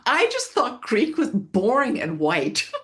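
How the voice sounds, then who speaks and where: A woman's voice: on the high side, huge pitch swings, takes it slowly. An older woman from Switzerland, a scholar guest in an interview, telling stories in a rambling, self-correcting way and laughing often. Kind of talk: reflective interview storytelling.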